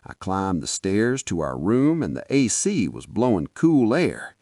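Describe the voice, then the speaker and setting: A man's voice: fairly low pitch, huge pitch swings, measured pace, darkish tone, no room sound, clean studio sound. A man with a Nigerian accent narrating a folktale dramatically in the first person, as a podcast storyteller.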